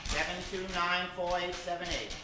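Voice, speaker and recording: A man's voice: high voice, level tone, moderate pace, reverberant, close to an everyday voice, low-quality recording. A man playing the storyteller narrator of an old-time radio adventure serial.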